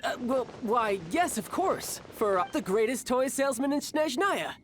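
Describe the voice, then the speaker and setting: A man voicing a cocky, polished young warrior in a video game, smooth and mockingly polite in a theatrical way. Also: very high-pitched, animated, moderate pace, fairly bright tone, soft background music. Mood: flustered. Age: young adult.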